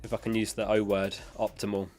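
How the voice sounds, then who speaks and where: A man's voice: lowish voice, little pitch movement, brisk, darkish tone, faint music behind. A man chatting casually as a livestream host, reading out and answering viewer questions in a matter-of-fact way, his voice starting to give out from being unwell. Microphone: close dynamic studio microphone.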